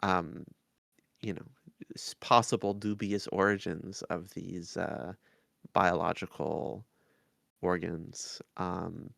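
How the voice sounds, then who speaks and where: A man co-hosting a podcast, discussing things in a measured, thoughtful and somewhat hesitant way. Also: sits low, fairly even intonation, creaky, fairly bright tone, no room sound, studio quality. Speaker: podcast co-host. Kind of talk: casual analytical discussion.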